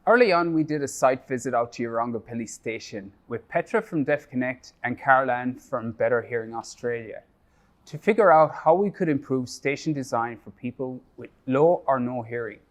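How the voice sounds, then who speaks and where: A man presenting a project update in a measured, scripted way, reading prepared remarks. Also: mid-range voice.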